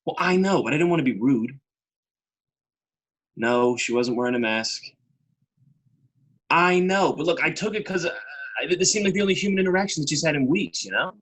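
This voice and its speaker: A man's voice: on the high side, animated, moderate pace, long pauses, fairly bright tone, husky. An actor playing a chatty, well-meaning delivery guy, rambling and mildly flustered in a casual video-call play. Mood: bemused, sympathetic. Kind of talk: casual one-sided call in a Zoom play.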